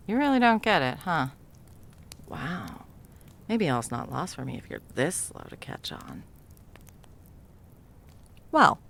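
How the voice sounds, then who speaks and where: A woman's voice: very deep voice, creaky, fairly bright tone, exaggerated voice. A woman playing a bumbling, flustered female Christmas elf in a whimsical comedic audio roleplay, evasive and sarcastic, rambling and backtracking as she goes. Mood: defensive, brisk.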